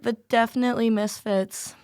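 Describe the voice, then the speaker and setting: A young American woman, a visiting student, chatting casually and reacting quickly on a podcast. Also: fairly high pitch, fairly even intonation, darkish tone, clean tone, no room sound, studio quality. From United States.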